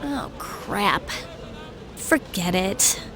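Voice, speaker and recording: A woman playing a withdrawn, preoccupied high school girl in a video game, talking casually and evasively. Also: lively intonation, extremely slow, a crowd in the background, background noise. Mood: downcast.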